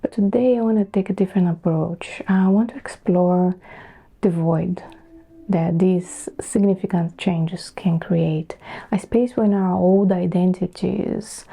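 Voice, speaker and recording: A middle-aged woman from Brazil, Portuguese her first tongue, talks to camera in a soft, breathy, reflective way, pausing as she thinks. Her voice is deep-toned and mellow, with a gravelly fry under it. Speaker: vlogger. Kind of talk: reflective personal talk to camera.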